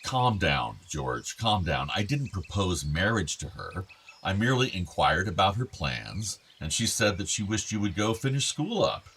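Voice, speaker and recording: A man's voice: sits low, big pitch swings, normal speed, a bit bright, breathy edge, heavily acted. An actor playing an older, meddling, wistful father in a naturalistic radio play, with bookish, formal phrasing. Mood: insistent. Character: older father, wistful, meddling, urging his son to marry.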